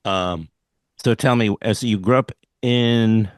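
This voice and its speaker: A man's voice: fairly low pitch, takes it slowly. A man interviewing a guest in a casual conversation, mostly giving short prompts and backchannels.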